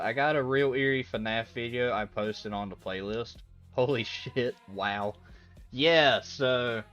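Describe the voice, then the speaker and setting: A man's voice: mid-range voice, a bit bright, clean tone. A young man chatting casually with his livestream viewers, rambling and laughing easily. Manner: rambling, laughs easily, reads out chat messages. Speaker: streamer. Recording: dull lo-fi audio with faint background music.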